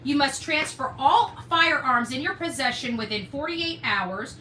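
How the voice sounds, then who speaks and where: A woman's voice: high-pitched, lively intonation, unhurried, bright, forceful tone. A woman streaming to her audience, indignant, combative and emphatic as she reads documents aloud and comments on them. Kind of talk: livestream rant, reading documents aloud with commentary. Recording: roomy echo, distant mic, faint noise.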